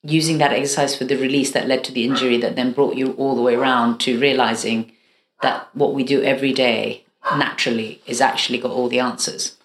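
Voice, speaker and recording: A woman hosting an interview, asking questions in a measured, friendly way. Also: a bass voice, fairly even intonation, roomy sound. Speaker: host.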